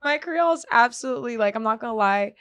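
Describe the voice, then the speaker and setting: A woman's voice: a little high, expressive, quick pace, fairly bright tone, clean tone, no echo. A woman in her twenties, born in Germany, chatting as a guest in an interview, laughing often and poking fun at herself. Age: 20s. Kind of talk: casual interview chat.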